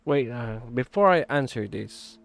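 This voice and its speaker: A man's voice: medium pitch, expressive, unhurried, gravelly, a bit bright. A man who streams games, giving casual live reaction commentary as he plays. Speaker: gaming streamer reacting to a game. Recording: voice over game music.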